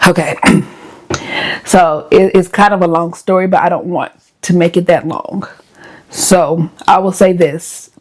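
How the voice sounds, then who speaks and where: A woman vlogger with a hoarse voice, chatting casually and directly to her viewers as she shows what is in her bag. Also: fairly low pitch, fairly even intonation, a little slow, pauses often. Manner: chatty, talks straight to viewers. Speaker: lifestyle vlogger.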